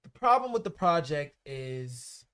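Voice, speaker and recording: A man co-hosting a laid-back podcast, talking in a blunt, emphatic way full of profane street slang. His voice sits a touch higher than most men's. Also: sing-song, measured pace, pushed and bright, clean tone. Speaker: podcast co-host. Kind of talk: casual chat about rap and culture.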